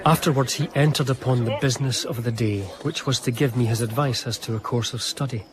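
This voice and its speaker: A man playing an earnest young gentleman diarist, narrating a period radio drama in a measured, confiding, literary way. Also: medium pitch, fairly even intonation, crowd noise, lightly acted. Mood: pleased, reflective.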